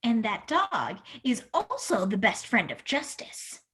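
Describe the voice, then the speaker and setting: Slow, husky, dramatic woman. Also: fairly high pitch, very animated, a bit bright. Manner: dramatic, sassy. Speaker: comedy troupe narrator. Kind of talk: melodramatic comedic narration.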